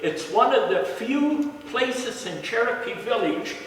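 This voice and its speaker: A man with a loud voice, a resident making public comment in a friendly, earnest way. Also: extremely high pitch, lively intonation, a little slow, a little hiss or hum. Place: city council chamber. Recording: echoey chamber mic from a distance.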